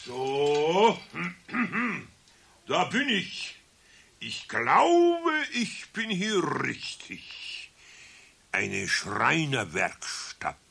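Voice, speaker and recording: An actor playing a grumbling old master carpenter with a Bavarian accent, stern and theatrical, in a children's radio play. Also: male voice, fairly high pitch, wildly swooping pitch, vocal fry, bright, forceful tone, slightly rough, heavily acted. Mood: mock-stern, threatening. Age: older. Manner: theatrical, slow and emphatic.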